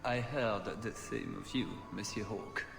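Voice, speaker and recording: A man's voice: fairly low pitch, a little slow, gravelly, music in the background. An actor playing a suave, haughty young nobleman in a video game, courtly and condescending. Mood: dismissive.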